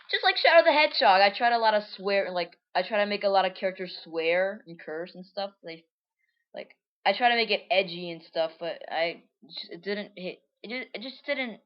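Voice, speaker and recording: A woman's voice: medium pitch, highs cut off. A woman casually reading aloud her old comic and commenting on it, rambling and correcting herself as she goes. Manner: rambling, self-correcting, chatty.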